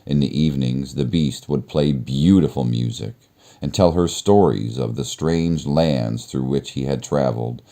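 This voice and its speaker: Very deep, slow storybook narrator man. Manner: measured, expressive storytelling. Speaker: storybook reader. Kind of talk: children's read-aloud narration.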